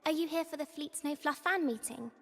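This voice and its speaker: A woman's voice: sits high, a little fast, gravelly, fairly bright tone. A woman voicing an earnest, slightly worried young female student in a video game. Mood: earnest, concerned. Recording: long reverb under game music.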